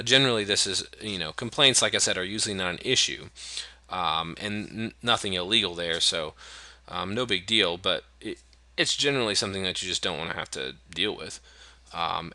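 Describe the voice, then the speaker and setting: A man from the US narrates a software tutorial into a close desktop mic. His voice sits somewhat low yet sounds very bright, and the recording is dry with no room sound. He explains casually, stopping for ums and restarting his sentences. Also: no room sound. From United States. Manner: relaxed and explanatory, many "um"s and restarts. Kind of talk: screen-recorded software walkthrough.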